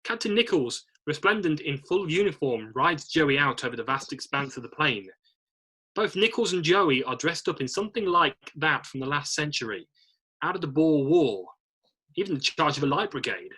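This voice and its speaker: A male narrator reads scripted stage directions aloud. His voice is fairly high and forceful, with a husky, rough edge. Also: expressive, normal speed, rough recording.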